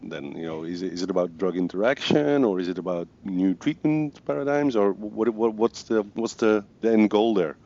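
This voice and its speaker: A Belgian man with a Dutch accent hosts a casual podcast interview. His voice sits very low, and he stumbles and repeats words while shaping questions. The recording is dull and lo-fi. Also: lively intonation, moderate pace, few pauses, mellow. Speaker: podcast host.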